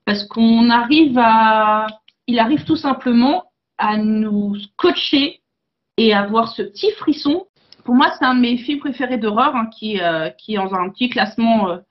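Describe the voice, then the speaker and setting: A woman's voice: a little high, moderate pace. Enthusiastic woman praising a favorite film. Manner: enthusiastic, hesitant with fillers. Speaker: film podcast co-host. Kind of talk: casual film discussion. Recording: dull lo-fi sound.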